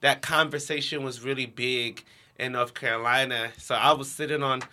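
A man's voice: mid-range voice, fairly even intonation, normal speed, sharp tone. A young American man speaking informally as an interview guest, peppering his talk with "like". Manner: informal, heavy use of "like". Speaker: interview guest, education nonprofit co-founder. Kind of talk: casual conversational interview.

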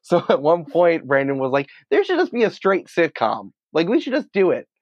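A man's voice: fairly high pitch, huge pitch swings, moderate pace, no echo, muffled recording. A man speaking as a podcast guest in a casual, emphatic, opinionated conversational way. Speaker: podcast producer and writer, interview guest.

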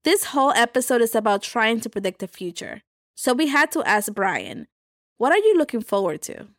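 A woman's voice: a little high, sing-song, moderate pace, no room sound, clean studio sound. A woman hosting a podcast, reading scripted narration. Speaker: podcast host. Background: music bed.

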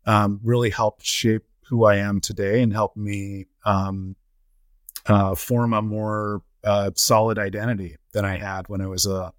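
A middle-aged man from the United States and Trinidad, telling his personal story as an interview guest in measured phrases. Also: fairly low pitch, level tone, dry recording, clean studio sound. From United States (Colorado) and Trinidad. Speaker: interview guest, nonprofit chief executive.

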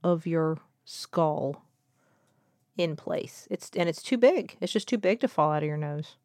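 A woman's voice: sits low, lively intonation, measured pace, a little mellow, no echo, clean studio sound. Patient woman doctor explaining to a child. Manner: patient, reassuring, plain simple wording. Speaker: doctor and podcast host.

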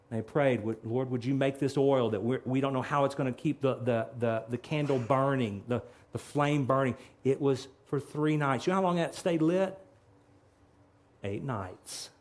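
A man's voice: mid-range voice, a little mellow. A middle-aged man preaching a sermon in a church, earnest, with frequent restarts and repeated phrases. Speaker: pastor. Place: church sanctuary.

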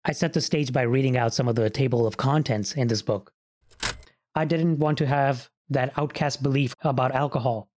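Male podcast host, medium-pitched, calm scripted narration. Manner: measured, reads from a script.

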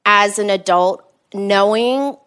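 A woman's voice: mid-range voice, takes it slowly, fairly bright tone, clear, resonant tone. A woman in her thirties with an Australian accent, chatting as a podcast guest, laughing often and restarting her sentences. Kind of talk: casual interview.

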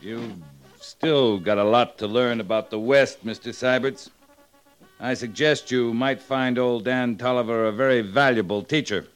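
A man's voice: mid-range voice, fairly even intonation, clear, resonant tone, natural voice. Male cavalry captain, played by an actor, American, middle-register voice, firm and commanding, vintage radio western, faint music. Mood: admonishing. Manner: measured, authoritative.

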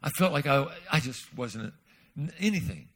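A man preaching as a guest speaker, telling a personal story in an animated way and swinging between quiet asides and loud outbursts. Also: medium pitch, normal speed. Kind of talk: sermon storytelling.

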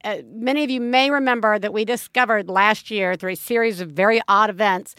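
A woman's voice: mid-range voice, fairly even intonation, fairly quick, a bit bright, clean tone, dry recording, clean studio sound. A woman in her thirties, a podcast co-host chatting casually in a playful, teasing, emphatic way. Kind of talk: casual chat.

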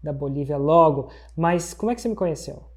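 Upbeat male podcast host, mellow timbre, eager interviewer. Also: on the high side, lively intonation. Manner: upbeat, quick intros and eager reactions.

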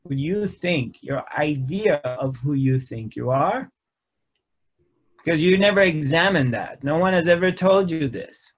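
A man speaking very slowly, with a slightly raised pitch, as he gives a contemplative spiritual teaching. He talks in short, deliberate phrases and leaves long gaps between them. The recording sounds dull and lo-fi. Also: animated. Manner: slow, measured, pausing often between phrases. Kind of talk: reflective spiritual talk.

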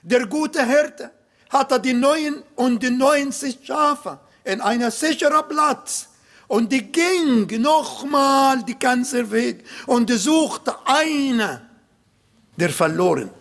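A man preaching a sermon in German with an Arabic accent, non-native grammar and emphatic, slowly built phrases. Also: extremely high pitch, expressive. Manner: emphatic, slow, swells louder on key points.

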